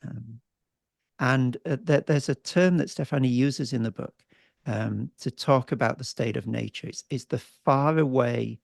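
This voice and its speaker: A man introduces a guest speaker at an academic seminar, full of praise and stopping often on fillers. His voice is gravelly and the sound is dry, heard over a video call. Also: mid-range voice, a little slow, dry recording. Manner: warm praise, many fillers and restarts. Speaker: seminar host.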